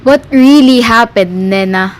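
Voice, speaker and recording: Insistent, fretful mother, played by a woman, high-pitched, amateur radio drama. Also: unhurried, lightly acted. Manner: insistent questioning. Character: fretful, demanding mother of a young daughter. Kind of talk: amateur radio play acting.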